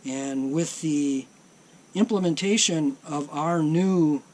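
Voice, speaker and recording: A middle-aged man giving a slow, plainspoken workshop demonstration of a repair, often pausing on ums and uhs. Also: a little high, darkish tone, slight background noise. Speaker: tape deck repair technician.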